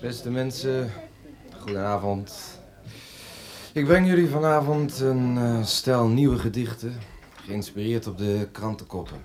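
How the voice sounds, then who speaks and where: A man's voice: fairly low pitch, close to an everyday voice. An actor playing an earnest, pretentious young male poet who recites solemnly and dramatically on stage in a radio play. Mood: casual, introducing. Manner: solemn, slow, dramatic declamation. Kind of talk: declaimed poetry recital over music. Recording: loud band music behind the voice. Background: brass and guitar music.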